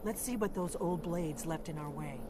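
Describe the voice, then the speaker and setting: Actress as a low-voiced brisk female adventurer. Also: heavy background noise, close to an everyday voice. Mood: brisk, focused. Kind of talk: fantasy video game voice acting.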